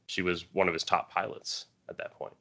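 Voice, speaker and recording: Deep bright-voiced man chatting. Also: flat delivery, fairly quick. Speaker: podcast co-host. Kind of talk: casual book review discussion.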